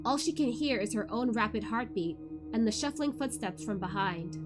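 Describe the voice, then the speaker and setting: High-voiced woman streamer over background music. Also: normal speed. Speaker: VTuber host of a book read-along. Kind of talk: casual chatty livestream; expressive audiobook-style narration of a novel.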